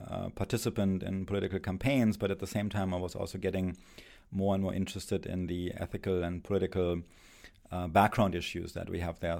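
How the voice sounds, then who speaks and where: Low, flat German-accented man. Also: gravelly. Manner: halting, many fillers and restarts. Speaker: philosophy professor, guest. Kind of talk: thoughtful academic conversation.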